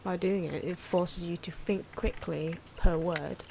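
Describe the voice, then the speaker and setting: A soft-spoken, hesitant woman giving ASMR commentary on her own drawing, with pencil and marker noises. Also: lowish voice, steady tone, unhurried, creaky, a little hiss or hum. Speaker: artist narrating own drawing video.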